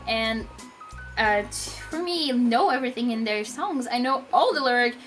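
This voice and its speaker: Eager Brazilian woman, high bright voice. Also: lively intonation, faint music behind. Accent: Brazilian Portuguese. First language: Portuguese. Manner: eager, fast bursts with many fillers and restarts. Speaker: vlogger. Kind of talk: casual personal story to camera.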